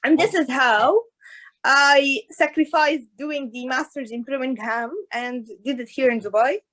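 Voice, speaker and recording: A woman, an orthodontist guest talking in a casual interview over a video call, with hesitant pauses and restarts. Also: high-pitched, fairly bright tone, rough recording. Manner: hesitant, many restarts and fillers. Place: in Dubai.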